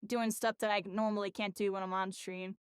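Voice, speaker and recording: A woman's voice: medium pitch, level tone, fairly quick, smooth, dry recording, clean studio sound. A tired woman streamer chatting casually and rambling, her voice worn out after a long session. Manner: rambling, full of "like" and "you know". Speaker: game streamer. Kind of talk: casual live-stream chat.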